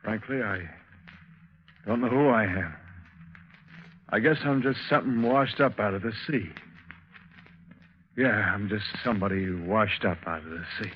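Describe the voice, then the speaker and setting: A man's voice: fairly low pitch, a little slow, pauses often, close to an everyday voice. Man acting a weary sailor, muffled old radio. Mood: resigned. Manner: sombre first-person storytelling. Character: weary shipwrecked sailor, haunted and resigned, recounting his ordeal. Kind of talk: 1940s radio drama narration. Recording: dull, muffled 1940s radio recording.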